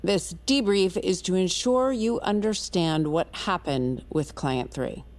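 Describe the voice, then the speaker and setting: A woman's voice: fairly low pitch, slow pace, a little mellow. A woman playing a stern, cold institute interrogator in an audio drama, curt and commanding.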